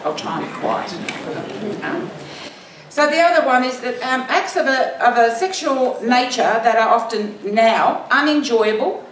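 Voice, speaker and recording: A woman in her fifties with an Australian accent, giving an impassioned speech at a rally with a loud, booming voice and many rhetorical questions, speaking into a stand microphone at a lectern indoors. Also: slight background noise, rough recording. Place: indoor venue with brick wall.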